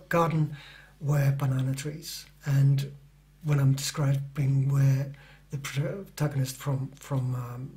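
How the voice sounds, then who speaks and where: A man's voice: medium pitch, dark tone. A middle-aged man who is not a native English speaker, an author answering interview questions in a hesitant way, with many fillers and restarts.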